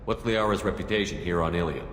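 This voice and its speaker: A man playing a calm, direct human military commander in a video game, asking questions plainly. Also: deep voice, fast, a little hiss or hum. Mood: direct, steady. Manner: direct, inquisitive. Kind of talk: video game dialogue acting.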